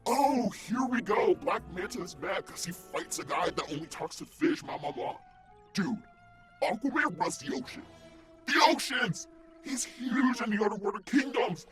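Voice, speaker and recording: A man playing a hot-headed, whiny supervillain in a comedic radio play, slangy, mocking and excitable. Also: sits high, normal speed, soft background music. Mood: indignant.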